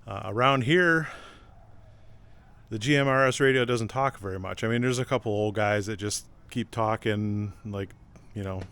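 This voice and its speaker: A man's voice: lowish voice, normal speed, fairly bright tone. A man in his fifties talking casually to camera in a plain-spoken, informal way, as a vlog host. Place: outdoors by a driveway and inside a car. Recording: clear road and car noise.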